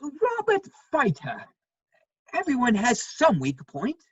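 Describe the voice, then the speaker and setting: Woman as witty worldly gentleman, wry measured stage drama delivery, raspy edge, lively intonation, dull lo-fi sound. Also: on the high side, no room sound, exaggerated voice. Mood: flippant, wry. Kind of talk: dramatic reading of a stage play.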